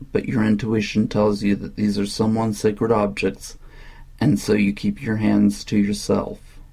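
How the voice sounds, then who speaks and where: A man narrating a scripted guided meditation in a slow, calm, soothing and measured manner, with a husky, gravelly voice. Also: fairly low pitch.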